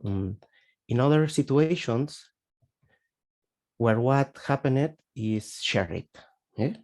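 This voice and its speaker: Slow, hesitant Spanish-accented man. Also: medium pitch, a little mellow. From Spain. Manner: searching for words, hesitant. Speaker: webinar participant asking a question. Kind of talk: halting question in a video webinar. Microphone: video call.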